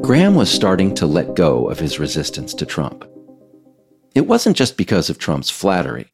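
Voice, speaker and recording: A man's voice: low-pitched, wildly swooping pitch, normal speed, creaky, over music. A man reading scripted narration as the author of an audiobook.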